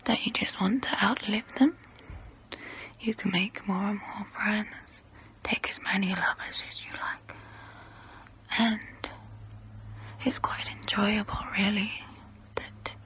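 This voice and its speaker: Woman playing a slow, whispering, seductive vampire. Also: medium pitch, fairly bright tone, natural voice. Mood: seductive, probing, then tempting, mischievous. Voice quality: breathy whisper. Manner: slow, intimate, deliberate delivery. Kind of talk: ASMR roleplay monologue, close-up whispering.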